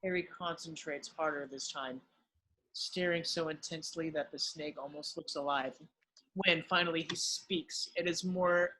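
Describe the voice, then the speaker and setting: A man's voice: fairly high pitch, fairly even intonation, normal speed, sharp tone, a little hiss or hum, low-quality recording. A man narrating, reading the stage directions of a screenplay in an amateur read-through.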